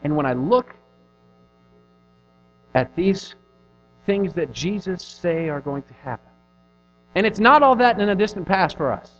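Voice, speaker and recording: A man in his thirties preaching a sermon in an earnest, conversational way, pushing hard on some phrases and dropping to a hush on others. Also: sits high, lively intonation, measured pace, long pauses. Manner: earnest, conversational preaching with rising and falling emphasis. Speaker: pastor. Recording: dull lo-fi recording with faint music.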